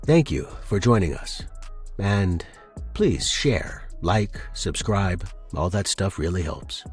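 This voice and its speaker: A man's voice: sits low, very animated, takes it slowly, faint music behind. A man narrating scripted fiction dramatically as a podcast host and storyteller.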